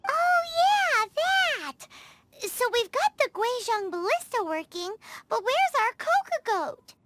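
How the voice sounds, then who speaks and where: An extremely high, childlike voice for a small fairy companion in a video game. It is blunt, excitable and squeaky, taking its time over words with very animated swings. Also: female voice, slow, heavily acted. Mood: eager. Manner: refers to self in third person, exclamatory. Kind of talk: English game voice acting. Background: background music.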